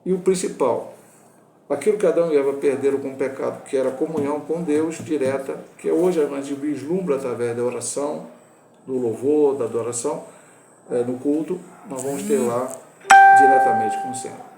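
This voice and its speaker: Gravelly Brazilian pastor in his sixties. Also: medium pitch, dark tone, roomy sound, a little hiss or hum. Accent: Brazilian Portuguese. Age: 60s. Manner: halting, with restarts and self-corrections. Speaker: pastor teaching a Bible lesson. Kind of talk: Bible study teaching.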